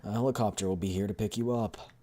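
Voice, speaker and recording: Menacing woman commander for game story, played by a man: low-pitched, cold, curt, mocking. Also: steady tone, fast talker, crowd noise, close to an everyday voice. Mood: mocking threat. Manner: curt, coolly threatening. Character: cold, menacing female squad commander who smokes, mocking.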